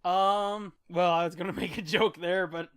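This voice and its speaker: A man's voice: high voice, fairly even intonation, moderate pace, a bit bright, clear, resonant tone, no room sound. An American man talks like a podcast co-host in casual conversation, swearing freely and joking irreverently as he tells stories. The sound is dry, with very little room to it. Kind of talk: casual chat, storytelling.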